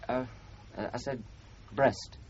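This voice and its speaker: An actor playing a bookish, devoted young bridegroom with a Northern English accent in a radio drama, hesitant, stammering and apologetic. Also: male voice, medium pitch, fairly even intonation, slow, slight background noise, natural voice. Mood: nervous. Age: young adult. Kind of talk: 1970s radio drama acting. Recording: muffled 1970s radio broadcast, music behind.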